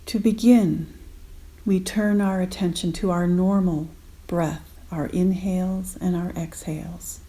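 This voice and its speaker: Very slow, mellow female meditation guide. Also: lowish voice, fairly even intonation. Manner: gentle, unhurried, spacing out short phrases.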